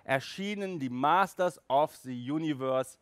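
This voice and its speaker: A man, presenting casually and enthusiastically to camera as a show host, with an animated, emphatic manner. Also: on the high side, unhurried, no room sound. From Germany. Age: 40s. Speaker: collector magazine show host. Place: small indoor room with toy displays. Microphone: handheld microphone, later headset.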